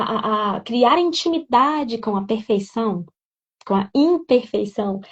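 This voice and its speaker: A woman's voice: fairly high pitch, distant mic, highs cut off. A woman in an interview explaining how people learn English, talking with fillers and restarting her sentences. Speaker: English teacher, guest. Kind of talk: conversational interview.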